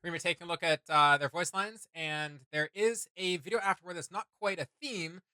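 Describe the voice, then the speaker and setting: A man's voice: sits high, brisk, pushed and bright, no room sound. A man hosting a reaction video in casual commentary, often hesitating as he searches for words.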